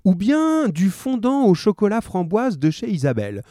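A man's voice: sits high, huge pitch swings, normal speed, warm tone, no echo, studio quality, voice pushed up. A man voicing a friendly, helpful male grocer in a read-aloud story. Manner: obliging and chatty.

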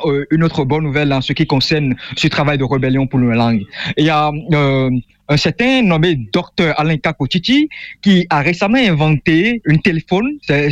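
A man's voice: on the high side, no echo, muffled recording. A young man from Benin, a guest author speaking earnestly with frequent hesitations. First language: Fongbe. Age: young adult. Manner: earnest, explanatory, many hesitations. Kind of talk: interview conversation.

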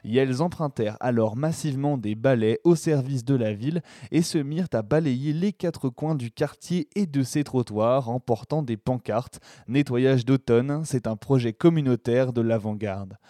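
A man's voice: medium pitch, normal speed, few pauses, warm tone, no room sound, studio quality. A man reading a scripted documentary narration as a radio presenter. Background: music.

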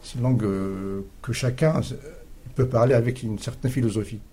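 A man's voice: mid-range voice, normal speed, warm tone, breathy edge. A man answering casually in an interview, speaking in a hesitant, reflective way. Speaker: school technical staff member. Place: recorded at a secondary school.

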